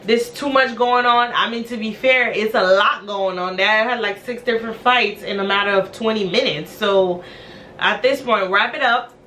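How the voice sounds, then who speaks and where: A woman's voice: mid-range voice, moderate pace, sharp tone. Chatty woman recapping with blunt slang. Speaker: recap vlogger. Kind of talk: casual show recap.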